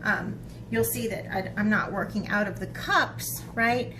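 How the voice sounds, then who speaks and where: A woman art teacher talking to young children in an expressive, encouraging way, as in a lesson or picture book read-aloud. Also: mid-range voice, measured pace, background noise. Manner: expressive, encouraging talk aimed at young children, with dramatic gasps.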